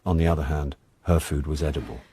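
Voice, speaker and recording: A man's voice: rumbling low, flat delivery, fast talker, warm tone, a little hiss or hum. An actor playing a wry middle-aged British man, a jaded dad and amateur sleuth, in a radio drama with first-person narration. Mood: wry narrating. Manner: dry, wry, sardonic.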